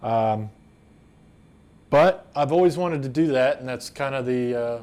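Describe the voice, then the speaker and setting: A man's voice: mid-range voice, unhurried, a little mellow. A middle-aged man with an American accent, casually explaining a do-it-yourself project in a plainspoken, unscripted way. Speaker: woodworking video host.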